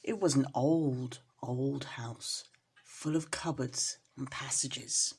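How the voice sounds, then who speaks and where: A woman reading a children's story aloud as a storyteller, in an expressive style. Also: extremely low pitch, slow pace, slightly rough.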